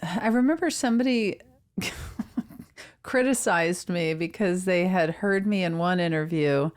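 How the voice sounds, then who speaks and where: A woman's voice: lowish voice, a little slow. A woman co-hosting a podcast in casual chat, curious and full of questions for the others. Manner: curious, asks many questions. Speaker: podcast co-host.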